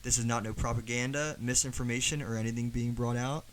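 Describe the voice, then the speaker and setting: Male podcast host, relaxed and chatty, conversational interviewer. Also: medium pitch, fast talker. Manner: casual, chatty questions with frequent laughs.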